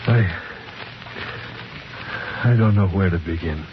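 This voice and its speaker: An actor playing a fearful, haunted man in hiding, halting and anguished, in a 1950s radio drama. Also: deep voice. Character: haunted, fearful young fiancé in hiding, desperate and evasive. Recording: muffled, noisy 1950s radio recording.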